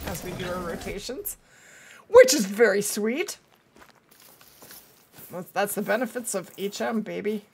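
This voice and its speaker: A woman's voice: mid-range voice, expressive, measured pace, vocal fry, long pauses, darkish tone, slight background noise. A woman in her thirties livestreaming a game in casual, chatty talk, her voice strained by a cold. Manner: chatty, talking to viewers and to the game. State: has a cold, losing her voice. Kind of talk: casual livestream gameplay chat. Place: indoors at a streaming setup.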